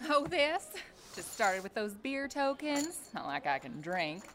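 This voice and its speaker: A woman's voice: medium pitch, sing-song, a little slow, a bit bright. A woman acting a sly, playful gambler in an audio drama, with faint noise and clicks. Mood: breezy, sly.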